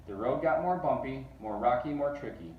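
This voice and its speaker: A man reading a children's storybook aloud in rhythmic verse with animated delivery. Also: medium pitch, normal speed, narrow, tinny audio. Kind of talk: expressive storybook read-aloud. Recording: distant mic, roomy echo, faint noise.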